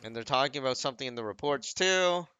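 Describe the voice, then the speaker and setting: A man's voice: mid-range voice, expressive, fast, pushed and bright, clean tone, dry recording. A man giving casual, off-the-cuff game commentary, rambling and often second-guessing his own wording. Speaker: gaming video creator.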